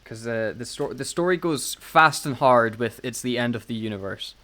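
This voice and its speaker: A man's voice: medium pitch, normal speed, bright, forceful tone. A man chatting casually as a podcast co-host, talking in a rambling way and often chuckling. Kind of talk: casual chat reviewing audio dramas.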